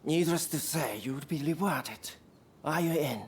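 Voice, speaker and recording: An actor playing a weary, businesslike law enforcer in a video game cutscene, with a measured delivery. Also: medium pitch, darkish tone, a little hiss or hum, lightly acted.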